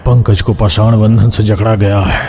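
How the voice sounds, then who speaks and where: A man's voice: lowish voice, level tone, normal speed, close to an everyday voice. A man voicing a defiant severed ghostly hand that serves a demon, taunting and explaining in a horror cartoon. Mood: grimly explaining. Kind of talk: Hindi horror cartoon dialogue. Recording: long hall reverb, background noise.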